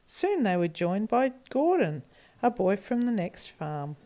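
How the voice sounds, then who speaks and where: Lively woman storyteller, unhurried pace. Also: mid-range voice. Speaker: children's storyteller. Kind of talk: expressive scripted story narration for children.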